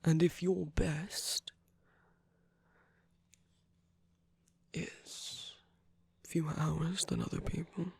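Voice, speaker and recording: A man performs a villain in a romantic ASMR roleplay, tender yet in command. His voice is slightly high for a man, breathy and full of creak, often sinking into whispers. He speaks in gentle, measured phrases, close and dry. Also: level tone, no room sound, exaggerated voice. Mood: tender, reassuring. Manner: slow, gentle, measured, with deliberate pauses.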